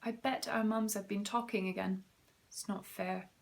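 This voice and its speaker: A man's voice: high-pitched, steady tone, moderate pace, vocal fry, voice pushed up. A man voicing a young schoolgirl in a storybook, in a raised girlish voice, a bit grumbly. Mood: sulky.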